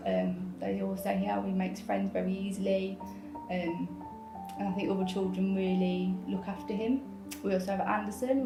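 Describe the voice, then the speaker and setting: A woman in her thirties calmly telling her family's story in a short video, speaking gently with frequent ums. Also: medium pitch. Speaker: parent interviewed in a video. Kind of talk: personal account in a documentary clip. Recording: roomy sound with background music.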